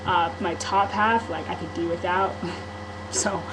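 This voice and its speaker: Low-voiced woman, candid and rambling. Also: fairly even intonation, measured pace, background noise. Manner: candid and rambling, with frequent ums and restarts. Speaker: vlogger. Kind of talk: casual personal vlog monologue.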